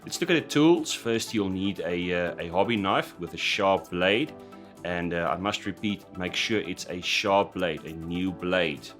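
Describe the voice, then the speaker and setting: A man hosting a scale model tutorial in voice-over, talking hesitantly with many "uh" fillers and restarts, his voice slightly croaky after an illness. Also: deep voice, measured pace, a bit bright. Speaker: scale model building host. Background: background music.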